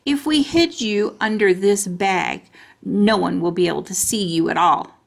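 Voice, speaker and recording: A woman voicing a kindly, tired male school principal from a storybook, speaking in a measured, patient way. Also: mid-range voice, creaky. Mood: helpful. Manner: measured, patient grown-up way of talking. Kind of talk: children's storybook character reading.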